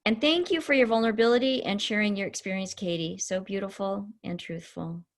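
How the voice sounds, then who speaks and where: A woman's voice: mid-range voice, fairly quick. A woman hosting a student event on a video call, speaking casually and encouragingly with effusive, gushing praise. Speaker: host of a student symposium session.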